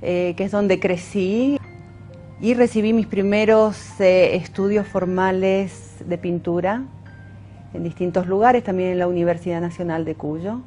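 A woman from Mendoza with an Argentine accent, a painter giving reflective interview answers and pausing often on "eh" fillers. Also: on the low side, steady tone, dark tone, faint music behind. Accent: Argentine Spanish. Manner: thoughtful, pauses often with "eh" fillers.

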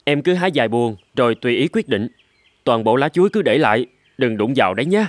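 An actor playing an eager, gullible husband in a cartoon, speaking in an earnest, exclamatory way. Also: male voice, mid-range voice, expressive, fast, no echo, close to an everyday voice. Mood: cheerful, earnest. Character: eager, gullible husband dreaming of gold, later hardworking. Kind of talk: cartoon voice acting.

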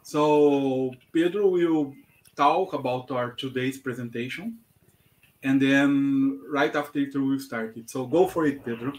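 A man's voice: mid-range voice, fairly even intonation, measured pace, poor audio. A man co-hosting a meetup on a video call, handing over in brief friendly remarks. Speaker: co-host. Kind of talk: casual chat.

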